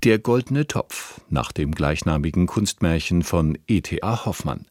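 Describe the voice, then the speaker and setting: A male radio announcer with a very low voice reads credits formally from a script. The sound is close and dry, with no room echo. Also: lively intonation, unhurried, a little mellow.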